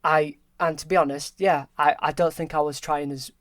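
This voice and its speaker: Halting young man, dry sound. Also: fairly high pitch, fairly even intonation, no room sound. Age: early 20s. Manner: halting, repeats words, open about struggles. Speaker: podcast guest, film graduate. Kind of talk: candid conversation.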